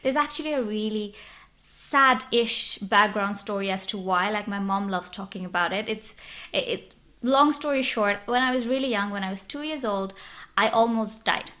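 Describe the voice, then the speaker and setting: A woman's voice: medium pitch, normal speed, pushed and bright. A woman in her twenties from Bangladesh, a podcast guest telling her story in a bubbly, open way. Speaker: guest, social media influencer. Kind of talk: casual interview chat.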